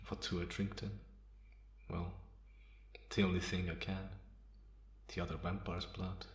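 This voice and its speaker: Man playing a deep, brooding centuries-old vampire. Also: vocal fry. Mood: cold, resigned. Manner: slow, brooding, measured delivery with pauses. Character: centuries-old brooding male vampire, vengeful, bitter, guarded. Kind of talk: ASMR roleplay, dramatic monologue to the listener. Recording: roomy, echoing sound.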